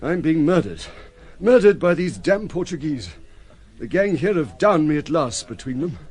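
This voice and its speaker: An actor playing a desperate, indignant and naively earnest sea captain in an audio drama, agitated and halting. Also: male voice, on the high side, very animated, a little mellow, husky. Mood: desperate indignation.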